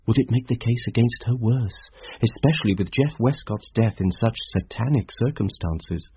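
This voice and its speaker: Husky, troubled male narrator. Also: medium pitch, no room sound. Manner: earnest, pondering. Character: troubled, reflective man narrating his private thoughts. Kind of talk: scripted first-person radio drama narration. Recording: dull, dry, close radio drama narration.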